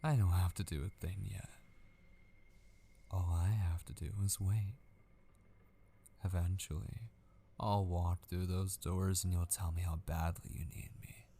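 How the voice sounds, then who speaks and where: A man acting an obsessive, possessive kidnapper in an intimate ASMR roleplay, patient and menacing, hushed and often slipping into a breathy whisper. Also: low voice, fairly even intonation, unhurried, long pauses, a little hiss or hum.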